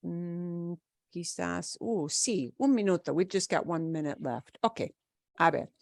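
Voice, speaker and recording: A woman's voice: on the low side, slow, creaky, a little mellow, no room sound, studio quality. A woman teaching a live online language class over a video call, explaining casually with fillers.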